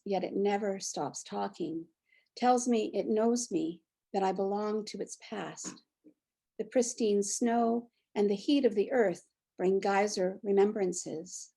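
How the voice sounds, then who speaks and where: A Canadian woman in her sixties reads her own poetry, with a short personal introduction first. Her voice is husky with a gravelly creak, and its tone is mellow and dark. She reads in a reflective, unhurried, measured way. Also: mid-range voice. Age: 60s. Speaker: poet. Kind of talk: poetry reading with spoken introduction. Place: near the Fraser River, British Columbia.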